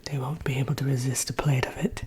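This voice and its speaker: A woman playing a genteel, drily wicked Evil Queen in a soft, breathy whispered ASMR roleplay, presenting like a workshop teacher. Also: very deep voice, steady tone, fast, noisy background. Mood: sly, pleased. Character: haughty, wicked fairy-tale Evil Queen teaching recipes.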